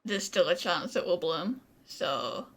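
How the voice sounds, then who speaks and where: A woman's voice: on the low side, expressive, takes it slowly, a little hiss or hum. A woman giving casual, offhand, wry commentary as she plays a video game. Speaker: gamer commenting on a playthrough.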